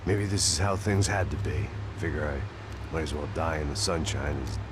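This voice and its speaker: An actor with an American accent playing a weary, sardonic middle-aged antihero in a video game, in a dry gravelly voice. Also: male voice, rumbling low, fairly even intonation, normal speed, noisy background. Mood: resigned. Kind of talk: video game cutscene acting. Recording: loud music behind the voice.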